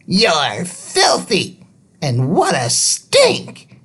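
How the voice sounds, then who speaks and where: Scolding neighbour lady for a kids' book, acted by a man, booming, high-pitched, drawn-out words. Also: wildly swooping pitch, fairly bright tone, husky, heavily acted. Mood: angry. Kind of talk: children's storybook reading.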